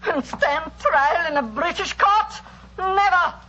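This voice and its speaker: An actress playing a proud, fanatical, venomous villainess in a theatrical 1940s radio melodrama. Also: female voice, very high voice, slow pace, bright, forceful tone, exaggerated voice. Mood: defiant fury. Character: defiant, fanatical villainess, proud island-born traitor. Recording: muffled, hissy 1940s radio recording.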